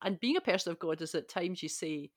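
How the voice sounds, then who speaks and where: A woman's voice: fairly low pitch, animated, brisk, no echo, clean studio sound. A woman in a conversational Bible discussion, thinking aloud emphatically and posing rhetorical questions. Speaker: podcast panellist.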